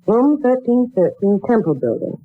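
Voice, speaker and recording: A woman's voice: a little high, big pitch swings, moderate pace. An actress playing a proud, polite young woman looking for work, in a dramatic 1940s radio style. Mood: eager. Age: young adult. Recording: muffled old 1940s radio recording.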